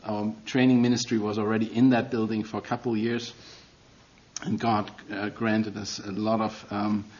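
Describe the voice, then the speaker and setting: A man's voice: on the low side, flat delivery, normal speed, a little mellow, lo-fi sound. A middle-aged man with a German accent preaching a sermon as a guest, earnest and self-deprecating, with non-native phrasing.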